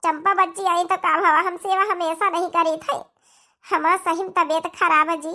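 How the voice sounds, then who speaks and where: A young, put-upon daughter-in-law from a comedy cartoon, acted by a woman, speaks in a high, sharp voice. She has an Awadhi accent and complains plaintively, begging for sympathy. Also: fairly even intonation, normal speed. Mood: aggrieved.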